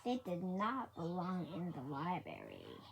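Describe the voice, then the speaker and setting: A woman reading a children's book aloud in a halting way, often repeating and correcting her words. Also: lowish voice, huge pitch swings, creaky. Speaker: storybook reader. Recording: faint background noise.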